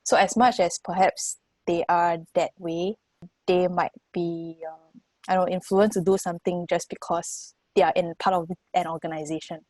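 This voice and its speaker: A woman's voice: fairly low pitch, flat delivery, normal speed, darkish tone, no echo, poor audio. A woman of twenty-five, offering opinions casually and a little tentatively in a group discussion. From Singapore. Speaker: first-time voter on a discussion panel.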